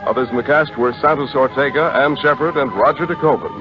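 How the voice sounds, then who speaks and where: A man's voice: medium pitch, quick pace. Muffled 1940s male radio announcer. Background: organ music.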